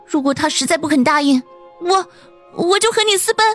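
A male narrator voicing a clingy, tearful young woman in a high falsetto. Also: animated, fairly quick, a bit bright, soft background music. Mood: doting. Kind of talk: audiobook character voice.

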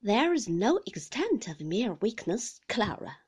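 Woman playing a slow, domineering, pompous husband. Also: mid-range voice, very animated, a little mellow, slightly rough, heavily acted. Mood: cold, severe. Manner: commanding, emphatic, pompous. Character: stern, domineering husband, cold and indignant. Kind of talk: audiobook character acting of a classic novel.

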